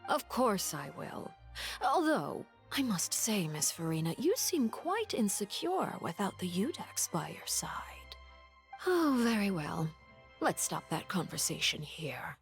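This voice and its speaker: A woman's voice: medium pitch, big pitch swings, unhurried, soft background music. An actress playing a coolly polite noblewoman interrogator in a game, formal and silky with veiled mockery. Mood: mocking. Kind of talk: anime-style game voice acting.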